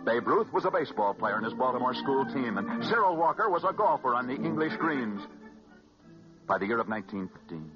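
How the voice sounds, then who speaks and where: Old time american radio guy telling a dramatic story, pitch swings all over, muffled recording with music behind. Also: sing-song. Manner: dramatic, with emphatic repetitions and drawn-out pauses. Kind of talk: 1940s radio sports storytelling, scripted narration.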